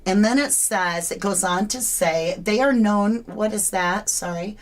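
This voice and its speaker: A woman's voice: medium pitch, a little slow. A woman hosting a true crime live stream, chatting casually and greeting her viewers by name. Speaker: true crime livestream host.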